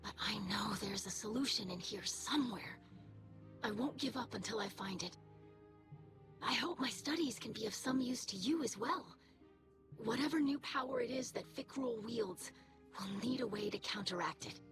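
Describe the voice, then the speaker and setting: Woman doing a soft breathy alien girl scholar, earnest, music in the back. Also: on the high side, moderate pace, close to an everyday voice. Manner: earnest, thoughtful, soft delivery. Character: earnest young female alien scholar, devoted daughter. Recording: game soundtrack under voice.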